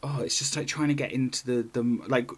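A woman's voice: rumbling low, fairly even intonation, a little fast. A woman co-hosting a podcast in casual chat, informal and exclamatory, with jokey asides. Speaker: podcast co-host. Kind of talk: casual chat, retelling a magazine story.

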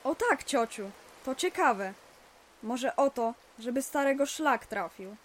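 Actress as high-voiced, sceptical young niece. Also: female voice, lively intonation, takes it slowly, full character voice. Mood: curious, sceptical. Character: curious, sceptical young niece questioning her uncle. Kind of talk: audio drama dialogue. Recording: rain noise behind the voice. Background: rain.

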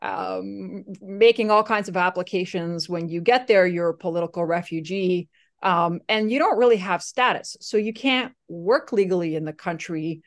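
A woman's voice: fairly low pitch, moderate pace. A woman from Slovakia, Slovak her first language, telling her story casually in an interview with many fillers and restarts. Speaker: guest.

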